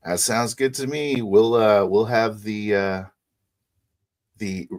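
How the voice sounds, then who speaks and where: A man playing a jaded, gruff vampire party organizer, speaking casually and wryly in an improvised tabletop roleplay. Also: on the low side, fairly even intonation, takes it slowly, a little mellow. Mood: coaxing, casual.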